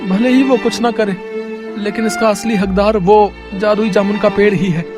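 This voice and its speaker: A man's voice: high voice, steady tone, moderate pace, natural voice. A man playing an honest, humble village berry seller in a storybook tale, speaking eagerly and respectfully. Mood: earnest. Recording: music under the voice.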